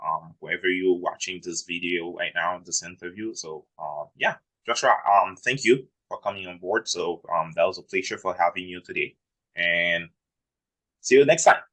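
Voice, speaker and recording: Deep-voiced hesitant male interview host. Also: moderate pace, fairly bright tone, rough recording. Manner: hesitant, many "um" and "I mean" fillers. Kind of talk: casual interview hosting.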